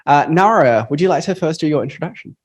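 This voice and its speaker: A man's voice: fairly high pitch, expressive, fast talker, breathy edge. A man hosting an online panel on a video call, upbeat and friendly, with frequent fillers. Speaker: panel moderator.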